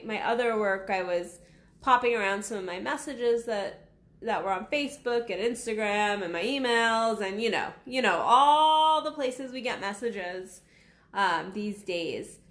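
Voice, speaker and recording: A woman in her thirties, a sex coach, talking casually and bluntly to camera, her voice raspy from being unwell. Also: medium pitch, a bit bright, roomy sound. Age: 30s. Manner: frank, blunt, swears freely. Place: small waiting room at her office.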